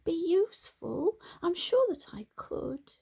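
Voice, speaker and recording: A woman voicing a small, wonky grey female donkey in a storybook, with a high, wailing, pleading voice. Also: big pitch swings, slow pace, vocal fry, dark tone, full character voice. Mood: despairing.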